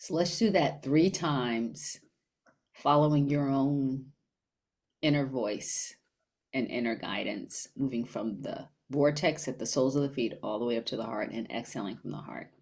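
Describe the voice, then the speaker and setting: A woman leading a guided meditation in a gentle, unhurried manner with long pauses. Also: a bass voice, vocal fry.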